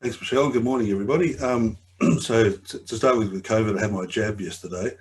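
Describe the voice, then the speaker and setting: A middle-aged man giving a webinar talk over a video call, slightly croaky, hesitant, often repeating his words. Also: on the low side, a little fast, slight background noise. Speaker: webinar presenter. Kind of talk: conversational presentation.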